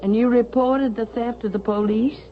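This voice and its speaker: An actress playing an imperious, suspicious elderly widow with a haughty, deliberate, sharp-tongued delivery in a 1970s radio drama. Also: female voice, fairly high pitch, steady tone, close to an everyday voice. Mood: probing, wary. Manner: haughty, deliberate, pointed questioning. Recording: dull, hissy vintage radio recording.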